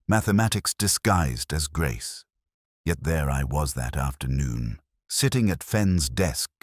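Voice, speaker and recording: A man playing a melancholy, reflective police detective, narrating his case in a measured, literary, brooding manner for a mystery audio drama. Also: sits low, no echo, clean studio sound, full character voice. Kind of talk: scripted first-person noir-like mystery narration.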